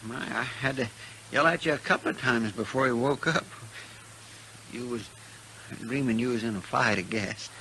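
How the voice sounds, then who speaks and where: An actor playing a loyal, deferential frontier deputy in a radio western, with a rural Western American twang and a rambling, stammering way of talking. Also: male voice, medium pitch, moderate pace, long pauses, sharp tone. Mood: concerned, chatty. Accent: American Western rural drawl. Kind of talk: 1950s radio western acting. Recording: noisy vintage 1950s radio broadcast.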